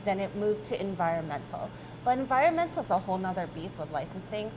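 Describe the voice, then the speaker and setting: Female, thirties, American accent, company boss in conversational interview, average pitch, noticeable background noise. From born in South Korea, grew up in Michigan, USA. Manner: informal, checks in with "right?" and "you know". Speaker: company chief executive being interviewed.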